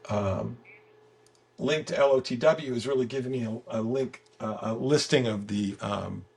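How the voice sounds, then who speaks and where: A man with a gravelly, husky voice giving a casual software walkthrough as the guest, halting and repeating words as he explains. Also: medium pitch. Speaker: software creator giving a product demo as guest. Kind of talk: casual screen-share walkthrough.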